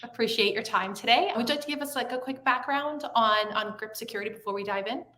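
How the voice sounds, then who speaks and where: A woman co-hosting an interview podcast, welcoming the guest and asking slightly halting questions. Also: on the high side, pushed and bright. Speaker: podcast co-host. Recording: echoey room.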